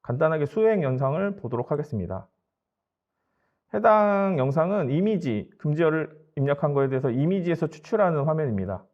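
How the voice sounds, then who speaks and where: Man, somewhat high-pitched, formal company presenter, polite matter-of-fact explaining, slightly muffled lo-fi recording. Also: lively intonation, a little fast. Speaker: company presenter at a business tech webinar.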